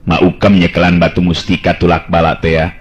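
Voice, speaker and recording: A man's voice: deep voice, level tone, brisk, a crowd in the background. A man with a Sundanese accent narrating a folk tale as a dramatic radio storyteller. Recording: dull lo-fi radio-style recording.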